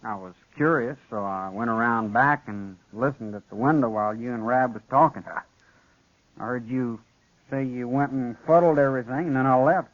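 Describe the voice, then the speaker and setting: An actor playing a sullen, guarded young rancher's son with a Western American accent in a radio western. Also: male voice, medium pitch, normal speed, natural voice. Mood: sullen, defensive. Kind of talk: 1950s radio western acting. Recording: dull old radio recording.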